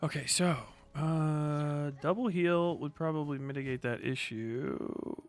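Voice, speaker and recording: A man with a somewhat high, smooth and clear voice talks off the cuff as a live streamer. His delivery is casual and rambling, full of slang and swearing, in the loose manner of someone gaming while he chats. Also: slow pace. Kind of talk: casual livestream chat while playing a video game.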